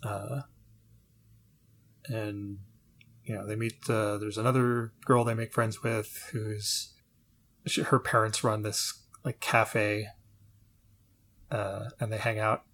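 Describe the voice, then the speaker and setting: A man's voice: on the low side, level tone, slow, long pauses, a bit bright. A man co-hosting a casual review podcast, speaking in an understated, tentative way. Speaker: podcast co-host. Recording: faint background noise.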